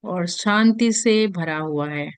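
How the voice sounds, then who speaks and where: A woman with an Indian accent leads a guided meditation in a gentle, soothing manner with long pauses. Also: fairly low pitch, clear, resonant tone, dry recording. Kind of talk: guided meditation in Hindi mixed with English.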